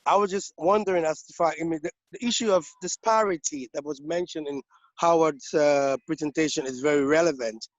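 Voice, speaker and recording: A man from Liberia speaking up in a webinar, making a long, halting comment full of restarts and repeated words over a video call. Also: on the high side, no room sound. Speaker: webinar participant. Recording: dull, lo-fi video call audio.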